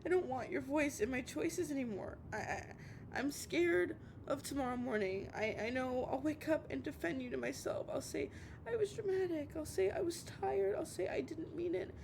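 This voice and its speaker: A woman's voice: lively intonation, gravelly. A woman playing an obsessive, heartbroken young woman on a late-night call, stammering and repeating herself in a dramatic audio roleplay monologue. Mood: scared, pleading. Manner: halting, stammering, repeating words. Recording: noisy with low rumble.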